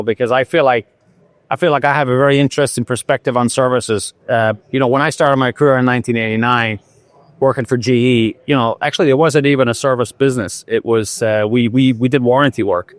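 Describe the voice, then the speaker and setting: A man's voice: mid-range voice, no room sound. A man in his sixties from Ireland with a faint Irish accent, an executive answering interview questions in an easygoing way with fillers and restarts. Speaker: company executive guest. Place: trade show floor.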